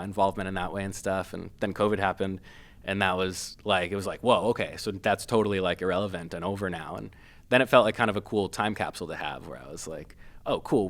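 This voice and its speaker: A man from Massachusetts, a filmmaker guest, talking casually with many pauses, fillers and restarts. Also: sits low, a bit bright. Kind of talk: casual interview.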